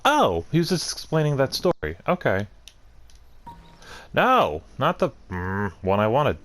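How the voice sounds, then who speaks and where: A man's voice: mid-range voice, wildly swooping pitch, a little slow, a bit bright. A man doing casual let's play commentary, thinking aloud and muttering to himself as he plays. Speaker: gaming video host.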